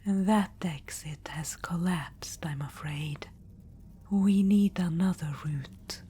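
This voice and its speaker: A woman voicing a regal undead witch-queen in an ASMR roleplay, speaking in a soft whisper and calling the listener darling. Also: fairly low pitch, slow, slight background noise. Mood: diplomatic. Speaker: ASMR performer.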